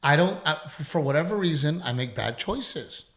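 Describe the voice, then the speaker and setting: A man's voice: on the high side, animated, moderate pace, fairly bright tone. A middle-aged man, a comedian hosting a podcast, chatting casually in a rambling, profane, self-deprecating way. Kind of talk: casual chat.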